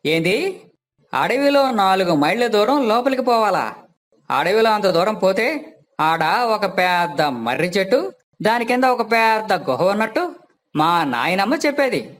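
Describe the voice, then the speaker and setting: A man's voice: high-pitched, sing-song, a little slow, a bit bright, heavily acted. A man voicing a plaintive, wary, superstitious villager in a moral story. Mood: fearful.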